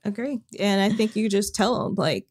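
A woman co-hosting a podcast in casual chat, speaking in a monotone, flat way. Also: medium pitch, moderate pace, husky. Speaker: podcast co-host.

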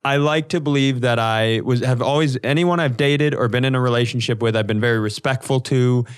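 A man's voice: mid-range voice, a little fast, smooth. A man co-hosting a podcast in casual comedic banter, swearing freely and sounding mock-indignant. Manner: crude, profane, mock-indignant. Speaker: podcast co-host.

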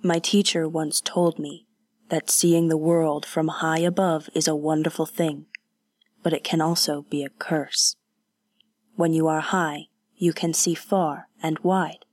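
A woman voicing an awkward, earnest young male warrior who speaks haltingly and often drops to a whisper. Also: low-pitched, flat delivery, darkish tone, dry recording.

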